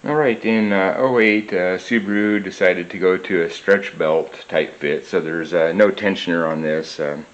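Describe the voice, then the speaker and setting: A man's voice: sits low, lo-fi sound. A man working as a mechanic, talking through an engine job step by step in a garage workshop, with lots of filler "uh"s. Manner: unhurried, many filler "uh"s, explains step by step. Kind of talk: garage how-to narration. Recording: echoey garage with background noise.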